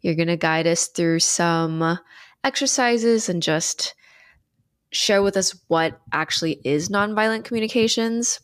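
A woman's voice: lowish voice, unhurried, clean tone. A young woman co-hosting a podcast in casual chat, playful and giggly. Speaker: podcast co-host.

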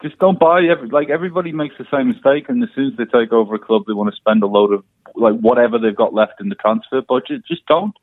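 A man's voice: mid-range voice, quick pace, hardly any pauses, dry recording. A man on a phone line, chatting casually about football as a podcast panellist. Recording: tinny narrow phone line.

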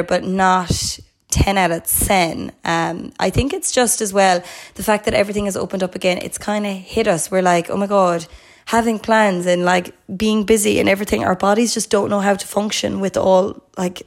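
A young woman co-hosting a podcast, her voice hoarse, chatting upbeat and casual. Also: mid-range voice, steady tone, normal speed. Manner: chatty, upbeat, lots of "like" and "absolutely". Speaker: podcast co-host. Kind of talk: casual chat.

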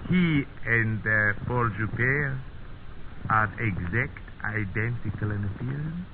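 An actor playing an officious, scheming Nazi captain with a German accent and clipped delivery in a 1950s radio drama. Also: male voice, fairly low pitch, lively intonation, takes it slowly. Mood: earnest. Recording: very tinny, noisy 1950s radio recording.

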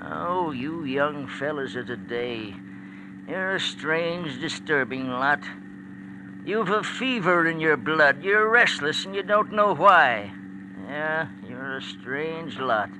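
Folksy old man, swooping pitch. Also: lively intonation, slow pace. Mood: resigned, musing. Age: older. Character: chatty old male factory worker, fretful, folksy. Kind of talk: classic radio drama acting. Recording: noisy old radio recording, vehicle rumble.

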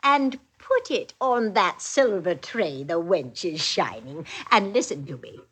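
Casting: a domineering village goodwife, breathy, deliberate and commanding, vintage radio drama. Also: female voice, on the low side, expressive, fairly bright tone, heavily acted. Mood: insistent, commanding. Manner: commanding, insistent. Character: bossy, scheming colonial goodwife. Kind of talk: old-time radio drama acting.

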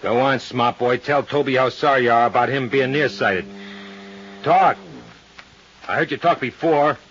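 An actor playing a taunting hoodlum who leads a pair of thugs in a 1950s radio crime drama, with mocking, needling street talk. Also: male voice, mid-range voice, moderate pace, a bit bright, lightly acted. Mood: mocking, bullying. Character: taunting male hoodlum, sneering ringleader of thug pair. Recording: muffled, faintly noisy 1950s radio recording.

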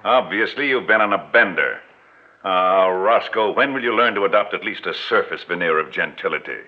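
An actor in an old radio drama playing a smooth, pompous middle-aged con man with florid, grandiloquent speech. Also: sits low, expressive, moderate pace. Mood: smug, scheming. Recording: dull vintage radio recording.

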